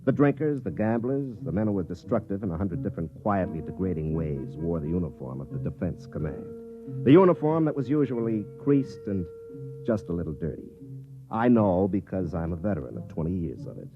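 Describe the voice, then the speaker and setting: Man as cynical, boozy veteran captain; lively, mocking delivery, muffled old radio sound. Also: sits low, brisk. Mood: dry, world-weary. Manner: sardonic, mocking. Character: cynical, hard-drinking veteran army captain. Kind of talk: 1950s radio drama acting and narration. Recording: muffled vintage 1950s radio, faint music.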